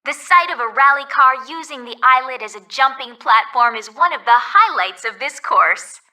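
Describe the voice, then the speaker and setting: Female resort ferry guide, high voice, very bright, courteous, upbeat, scripted video game announcements, slightly distant. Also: animated, normal speed, exaggerated voice. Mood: cheerful, informative. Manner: polite, clearly scripted announcer delivery.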